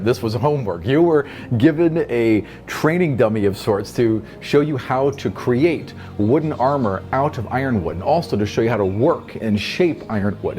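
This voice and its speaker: A man's voice: medium pitch, darkish tone. A man working as game master, narrating a tabletop fantasy adventure to his players.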